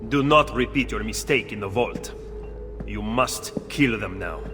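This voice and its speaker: An actor playing a shrewd, serious Italian political schemer in a video game, precise and earnest, with an Italian accent. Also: male voice, on the low side, measured pace, lightly acted. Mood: stern, probing. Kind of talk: video game dramatic acting. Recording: long reverb under clear music.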